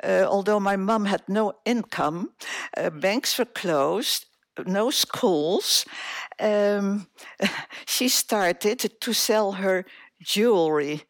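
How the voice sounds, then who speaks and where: A woman in her eighties, born in Indonesia, telling her life story as an interview guest, slowly and with many hesitations. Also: medium pitch, animated, dry recording, studio quality. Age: 80s. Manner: slow, hesitant, many filler sounds.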